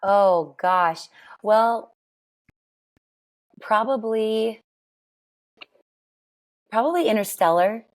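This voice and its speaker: A woman in her forties with a raspy voice, chatting and telling stories as a podcast guest, laughing often. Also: mid-range voice, extremely slow, long pauses. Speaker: guest, worship singer. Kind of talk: casual interview chat.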